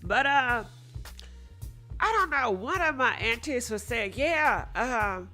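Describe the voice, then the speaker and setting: A woman's voice: a little high, lively intonation, takes it slowly, pushed and bright, faint music behind. A woman hosting a casual live talk show, her nose stuffed up, talking frankly and swearing as she muses aloud. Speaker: host.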